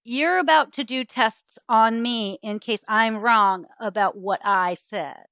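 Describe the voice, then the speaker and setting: A woman's voice: on the high side, slow pace, sharp tone, dry recording, dull audio, exaggerated voice. A woman voicing an earnest, insistent young girl in a storybook, questioning and pleading. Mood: confused, insistent. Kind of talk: storybook character voice.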